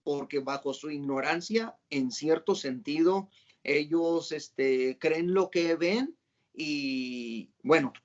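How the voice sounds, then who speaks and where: A man's voice: fairly high pitch, slow pace, muffled recording. A man hosting a casual religious interview, asking long questions that are full of fillers and rephrasing. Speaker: host and interviewer.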